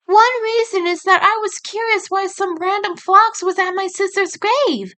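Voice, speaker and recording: A woman's voice: extremely high pitch, unhurried, pushed and bright, pitched above the performer's own voice, exaggerated voice. A woman voicing a small female rabbit in an audio story, teasing, direct and matter-of-fact.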